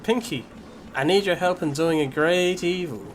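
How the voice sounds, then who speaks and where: A woman's voice: lowish voice, moderate pace, slight background noise, natural voice. A woman voicing a pretentious, bossy, scheming pony film director in a parody cartoon, theatrical in manner.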